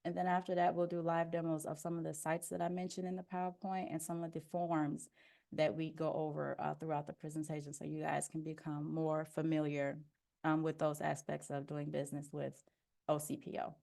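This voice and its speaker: Soft-spoken woman giving a work webinar, kinda gravelly, lots of "um"s, headphone mic on a call. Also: low voice, brisk. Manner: explanatory, steady, with frequent "um" fillers. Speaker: deputy procurement officer presenting a webinar. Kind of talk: informational webinar presentation. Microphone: headphone mic on a video call.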